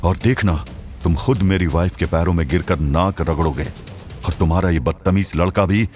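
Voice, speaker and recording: A man voicing a protective young husband in an audio drama, making hushed, controlled threats. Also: sits low, soft background music, voice pushed down, lightly acted. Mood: cold, menacing. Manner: slow-burning, hushed threat. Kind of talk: Hindi audio drama acting.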